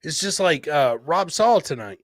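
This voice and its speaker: Male podcast host, American accent, slightly high voice, blunt casual talk with plenty of swearing, very little room sound. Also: big pitch swings, normal speed, no echo, clean studio sound. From Michigan, United States. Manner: brash, blunt, swears freely. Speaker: host of a live comedy podcast. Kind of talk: casual live-stream chat.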